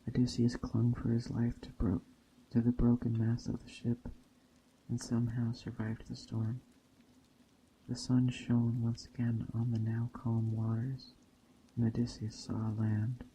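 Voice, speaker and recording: A man tells an old myth in a very monotone, measured way. His voice is dark and soft-edged with a gravelly creak. He sometimes trips on a word as he reads. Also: mid-range voice, slight background noise, low-quality recording. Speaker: storyteller. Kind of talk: reading a Greek myth story aloud.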